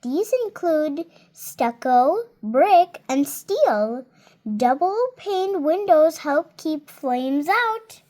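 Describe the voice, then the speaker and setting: A woman's voice: high voice, lively intonation, very slow, warm tone. A seven-year-old girl reading a children's nonfiction book aloud carefully, word by word, adding her own small comments. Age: child, 7 years old. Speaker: child reader.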